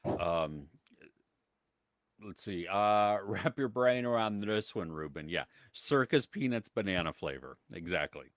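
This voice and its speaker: American guy hosting a live movie chat, lowish voice, lots of ums and laughing, dry close sound. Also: expressive, unhurried, no room sound. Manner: chatty, laughs often, many fillers. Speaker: podcast co-host. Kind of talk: casual live-stream chat.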